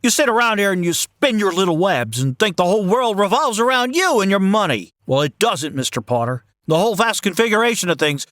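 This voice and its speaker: A woman's voice: medium pitch, big pitch swings, fairly quick, few pauses, fairly bright tone, dry recording. An earnest young everyman acted by a woman in a radio play, folksy and easily flustered. The delivery stammers and swings up and down, recorded dry and close. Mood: indignant. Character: earnest, flustered, principled young small-town man. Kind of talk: old-time radio drama acting.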